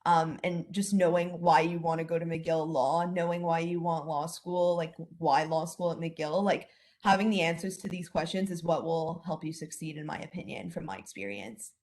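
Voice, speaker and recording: Low-voiced young woman, earnest advice. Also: normal speed, darkish tone. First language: English. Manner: earnest, filler-heavy. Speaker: alumna. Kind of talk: casual advice on a video call. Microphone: video call.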